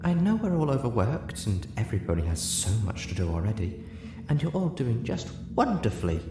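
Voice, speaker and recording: A man voicing a shy, gentle female pegasus pony in a story, soft and breathy, hesitant and earnest. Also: mid-range voice, big pitch swings, fairly quick, roomy sound, music in the background, voice pushed up, lightly acted. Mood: gentle pleading. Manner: hesitant, timid.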